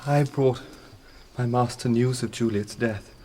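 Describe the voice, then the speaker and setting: Man acting nervous servant in a shakespeare radio play, mid-pitched voice, faint noise behind. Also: fairly even intonation, unhurried, warm tone, lightly acted. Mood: anxious, earnest. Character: dutiful, anxious young manservant reporting to authority.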